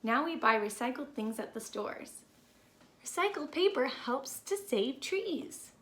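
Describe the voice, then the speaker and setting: Woman, high voice, slow expressive children's read-aloud. Roomy sound. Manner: expressive, deliberate delivery for young listeners. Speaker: teacher reading a picture book aloud. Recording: roomy echo with background noise.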